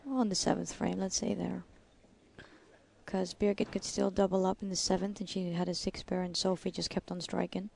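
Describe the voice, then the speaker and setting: A woman's voice: fairly low pitch, little pitch movement, moderate pace, gravelly, darkish tone. A woman doing live commentary on a women's bowling final, chatty and given to rambling and giggling. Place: bowling arena with crowd. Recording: crowd and noise behind.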